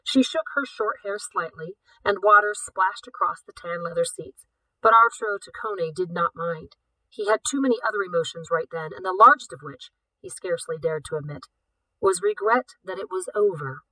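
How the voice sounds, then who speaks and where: A woman reading a novel aloud in an expressive, deliberate storytelling manner. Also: on the low side, no echo, distant mic, poor audio. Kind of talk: scripted audiobook narration.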